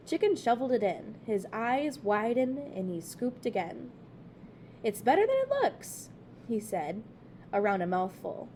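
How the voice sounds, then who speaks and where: A woman teacher reading a children's book aloud in expressive narration, phrased clearly for children. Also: medium pitch, a little hiss or hum.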